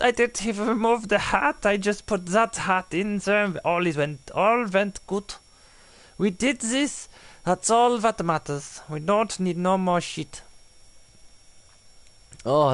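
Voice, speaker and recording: A young man streaming a game, putting on a mock German-style accent that drifts into a made-up one, swearing and shouting in excitement. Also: high voice, no room sound. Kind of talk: live let's-play commentary.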